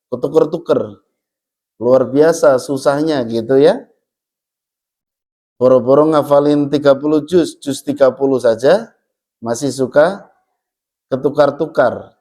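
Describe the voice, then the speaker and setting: A man's voice: mid-range voice, a little slow, long pauses, dark tone. A man teaching Quran recitation in a mosque, chatting and joking, and reading verses aloud to show how they sound. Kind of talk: casual religious study talk.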